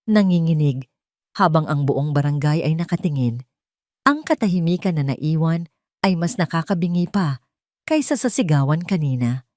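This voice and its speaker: A woman's voice: deep voice, a little mellow, dry recording, clean studio sound. A woman storyteller reading scripted dramatic narration for an audio romance story.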